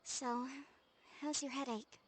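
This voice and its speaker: A woman's voice: high-pitched, steady tone, slow pace. A woman playing a caring, protective young sister in a video game, talking in a casual, familiar way. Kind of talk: scripted video game dialogue. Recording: background game music.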